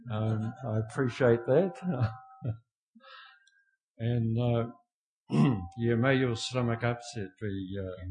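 An older man with a soft voice, opening a sermon with greetings in a slow, self-deprecating way. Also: medium pitch, low-quality recording. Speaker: guest preacher.

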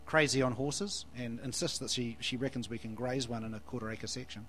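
A New Zealand man in his forties, a guest preacher answering questions in church. He stops and restarts his sentences often and pokes gentle fun at himself. A faint hum runs under his voice. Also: medium pitch, fairly even intonation, a little fast. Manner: hesitant, restarts phrases, self-deprecating. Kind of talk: church interview and sermon.

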